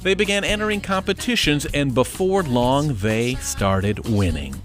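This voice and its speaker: Lively male TV feature narrator. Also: medium pitch, a little slow. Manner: lively storytelling delivery. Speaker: reporter, narrator. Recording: voice-over over music.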